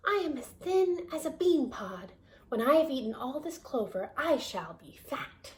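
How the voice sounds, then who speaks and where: A tiny, quick-witted lamb from a storybook, her voice lifted well above the reader's own into a thin, bleating squeak, as a woman reads her aloud. She pleads and coaxes in a drawn-out way. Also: very animated. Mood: pleading. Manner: high bleating plea. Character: small timid female lamb, clever and pleading.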